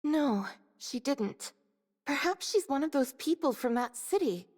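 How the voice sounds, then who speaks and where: A woman's voice: sits high, expressive, a little slow, a little mellow, big-room sound. An actress playing a practical, matter-of-fact woman soldier.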